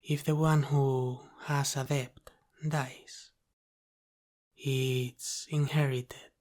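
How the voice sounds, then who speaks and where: A man playing a teasing, protective Italian-American mafia boss in an intimate ASMR roleplay, speaking softly and deliberately and slipping into whispers. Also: medium pitch. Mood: teasing, probing.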